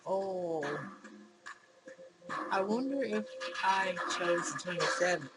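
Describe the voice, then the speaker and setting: A woman's voice: medium pitch, expressive, takes it slowly, very noisy. A woman playing a visual novel, reading the dialogue aloud in character and reacting as she goes. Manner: acts out characters' lines while reading. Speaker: gaming video host. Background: loud game music.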